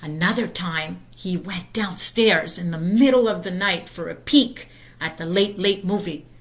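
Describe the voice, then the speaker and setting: A woman reading a children's story aloud in a deliberate, expressive storytime manner. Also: fairly low pitch. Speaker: storytime reader.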